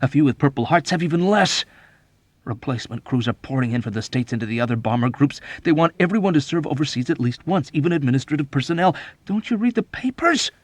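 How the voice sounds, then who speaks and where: Man acting a rough, quavering, overwrought airman. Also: on the high side, expressive, fast, no room sound, pitched above the performer's own voice. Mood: frantic fury. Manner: pugnacious, agitated, overwrought. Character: furious, overwrought young airman plotting a killing.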